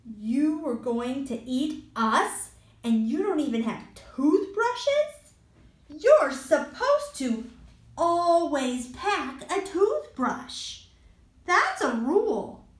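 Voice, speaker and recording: A woman's voice: high-pitched, sing-song, extremely slow, pitched above the performer's own voice, full character voice. A woman reading a storybook aloud and voicing a bold, bossy little boy with an emphatic sing-song delivery. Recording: roomy echo.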